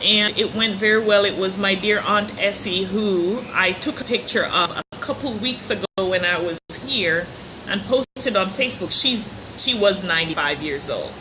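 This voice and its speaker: A middle-aged woman, a little hoarse, hosting her show in a chatty, upbeat live-stream talk from a hotel room. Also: mid-range voice, moderate pace, noisy background. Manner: chatty, upbeat, with light laughs. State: slightly hoarse. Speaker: host of a motivational show. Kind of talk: casual live-stream monologue. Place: hotel room near a pool.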